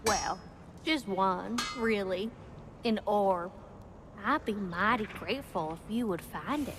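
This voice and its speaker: A woman's voice: mid-range voice, slow, background noise, lightly acted. A woman playing a chatty, sweet, eccentric tinkerer in a video game, with a Southern American accent. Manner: chatty, polite, colourful. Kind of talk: video game character acting.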